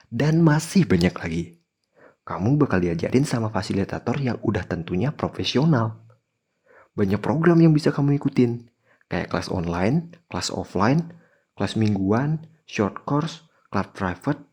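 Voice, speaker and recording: A man's voice: on the low side, darkish tone, slightly rough. A man presenting a scripted podcast in a chatty way, speaking straight to listeners. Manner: chatty, addresses listeners directly. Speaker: podcast host.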